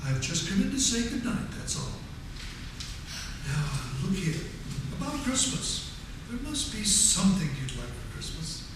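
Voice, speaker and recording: A man playing a kindly, jolly old Santa Claus in a 1940s-style radio play on stage, gentle and hesitant. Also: sits high, very animated, unhurried. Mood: gentle, coaxing. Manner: gentle, chuckling, hesitant with repeated small exclamations. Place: community theatre performance. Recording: echoey theatre stage, distant mic, noisy.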